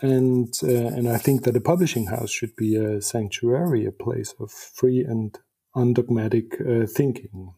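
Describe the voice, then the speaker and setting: Soft, husky-voiced German man in his fifties. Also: medium pitch, unhurried. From eastern Germany. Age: 50s. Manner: thoughtful, frequent restarts and repeated words. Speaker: guest, publisher. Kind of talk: conversational interview.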